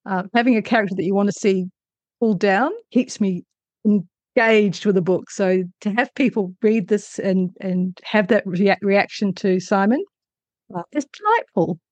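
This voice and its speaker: A woman's voice: mid-range voice, moderate pace, darkish tone, dry recording, muffled recording. A woman answering interview questions as an author, conversational, with thoughtful and hesitant phrasing. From Australia.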